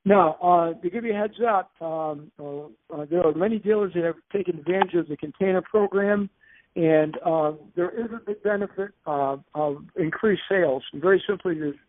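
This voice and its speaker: Over a narrow, tinny telephone conference line comes a man with a slightly high-pitched voice. He leads an informal business presentation, moving through it haltingly and hesitantly, often doubling back to restate things. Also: no echo. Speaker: company presenter leading a dealer conference call.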